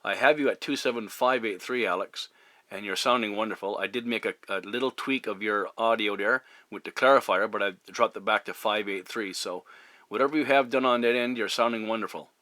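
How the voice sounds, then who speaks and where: A middle-aged man with a fairly low, very bright voice talks on CB radio in a courteous, halting way. He is from Newfoundland, Canada, and chats casually, with a dry, close sound. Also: no echo. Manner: courteous, hesitant, halting phrasing. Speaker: CB radio operator. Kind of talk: casual radio contact chat. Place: at a CB radio station. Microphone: radio microphone.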